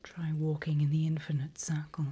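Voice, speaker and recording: A woman playing a seasoned demon guide in an ASMR roleplay, teasing, sinister and silkily condescending, in a breathy, drawling whisper. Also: low voice, monotone, warm tone. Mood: silky, sly. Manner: slow, drawling, teasing, mock-sweet.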